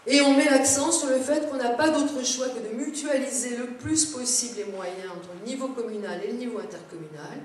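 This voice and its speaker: A woman's voice: fairly high pitch, moderate pace, few pauses. A woman giving a public lecture to elected officials, explaining things with informal asides. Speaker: conference speaker, local government adviser. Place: large echoing indoor seminar room. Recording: very echoey room, distant mic, background noise.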